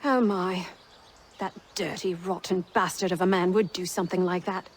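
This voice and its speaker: A woman playing a spirited, grateful settler wife in a video game cutscene, heartfelt and expressive. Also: on the low side, normal speed, a little hiss or hum, lightly acted. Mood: bitter.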